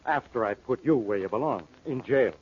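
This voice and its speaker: An actor playing a gruff, exasperated police inspector in 1940s radio drama style, curt, blustering and sarcastic. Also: male voice, medium pitch, normal speed, lightly acted. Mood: curt, suspicious. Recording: muffled, band-limited 1940s radio recording.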